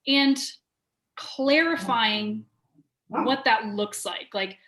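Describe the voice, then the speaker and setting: A woman talks openly and quickly in an interview, filling her sentences with "like". Her voice sits fairly high for a woman. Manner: rapid and animated, lots of "like" fillers. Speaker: guest, sales trainer and business owner. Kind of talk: candid conversational interview.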